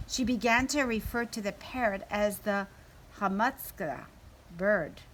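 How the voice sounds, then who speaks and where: A woman narrates a children's picture book with expression, acting out the lines of dialogue as she goes. Her voice sits at a medium pitch, and there is noticeable noise and room ambience behind her. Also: a little slow, a bit bright, rough recording. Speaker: story reader. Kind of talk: read-aloud children's storybook narration.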